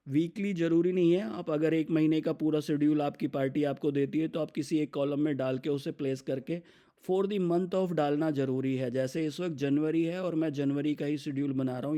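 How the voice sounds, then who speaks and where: A man with an Indian accent explains software step by step as an instructor, mixing English business terms into his speech. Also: fairly high pitch. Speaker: software demonstrator.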